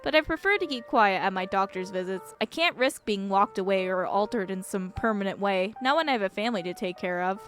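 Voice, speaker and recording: A woman's voice: medium pitch, expressive, a little fast, hardly any pauses, a bit bright, soft background music, natural voice. A woman voicing an earnest, confiding young father. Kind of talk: acted reading of visual novel dialogue.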